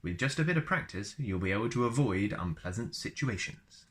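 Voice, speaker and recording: A man reads aloud in a fairly low, bright voice. He narrates like a storyteller and drops in playful, casual asides for young listeners. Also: expressive, a little fast. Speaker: storyteller reading a book aloud for a video channel.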